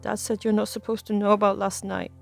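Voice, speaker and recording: Small boy, about seven, horror story, played by a woman. Frightened, hesitant, pleading, lifted pitch. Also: level tone, brisk, gravelly, soft background music. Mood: afraid, pleading. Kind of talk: acted dialogue in a narrated horror story.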